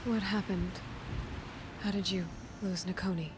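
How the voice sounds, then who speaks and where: A woman playing a calm, kind and capable young huntress in a video game cutscene. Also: medium pitch, unhurried, background noise, lightly acted. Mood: curious. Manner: calm, direct, sympathetic. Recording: music behind the voice.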